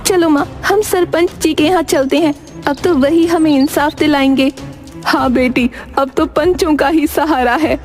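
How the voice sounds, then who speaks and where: A woman playing wronged, poor village women, plaintive and righteous, in an animated moral story. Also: high-pitched, measured pace, soft background music. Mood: pleading. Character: poor wronged village widow and her daughter.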